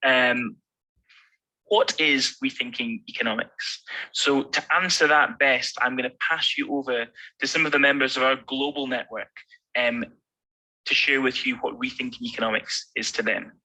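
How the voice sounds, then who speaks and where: A man giving a recorded online presentation, relaxed and hesitant with many fillers. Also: medium pitch, moderate pace, poor audio. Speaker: presenter from international network.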